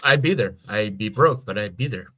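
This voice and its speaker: A man's voice: on the low side, lively intonation, moderate pace, fairly bright tone, no echo. A man co-hosting a podcast, talking casually and plainly, listing things loosely with plenty of you-knows. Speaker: podcast co-host. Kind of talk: casual chat.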